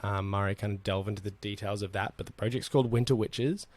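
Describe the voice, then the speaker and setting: A man with a low voice hosts a laid-back online chat, talking loosely and filling pauses with "um". The sound is dry and close, with little room around it. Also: no room sound. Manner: relaxed, rambling, many fillers and restarts. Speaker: host.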